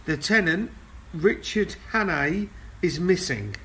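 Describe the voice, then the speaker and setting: Man playing a high-voiced, cheeky travelling salesman. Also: animated, takes it slowly, a bit bright, full character voice. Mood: gossipy. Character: chatty, cheeky male travelling salesman on a train. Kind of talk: comic radio play acting.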